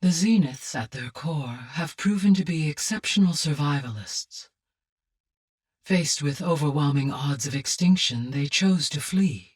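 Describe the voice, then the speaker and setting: A benevolent artificial intelligence from a video game. Her female voice is slightly breathy and sounds a little removed from the mic. She explains things calmly, in formal, exact, measured sentences. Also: sits low, far from the mic, exaggerated voice. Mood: composed, explanatory. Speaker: game character, advisor. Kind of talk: scripted video game dialogue.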